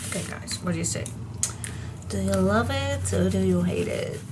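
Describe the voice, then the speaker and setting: A woman hosting a papercraft tutorial, chatting casually while she works, her voice a little froggy and hoarse. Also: medium pitch, noisy background. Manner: chatty, slow and relaxed, talks to herself while she works. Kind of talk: casual crafting tutorial talk.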